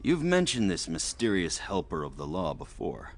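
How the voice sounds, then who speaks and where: An intensely curious man from a pulp thriller audio drama. His lowish voice swings dramatically up and down as he presses insistently with his questions. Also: huge pitch swings, normal speed, heavily acted. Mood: urgent curiosity.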